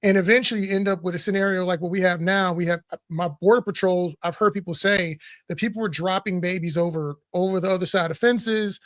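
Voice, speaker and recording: A man with a notably high voice co-hosting a political talk show, blunt and animated, often breaking into laughter mid-sentence. The sound is dry and close, with no room around it. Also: fast, few pauses, dry recording. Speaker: co-host.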